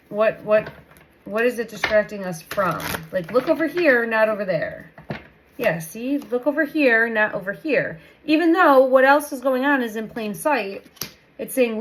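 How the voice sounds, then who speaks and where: A woman's voice: a little high, slight background noise, rough recording. A woman hosting a casual tarot reading, chatty and meandering, repeating her phrases, and still recovering from a cold. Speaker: tarot reader host.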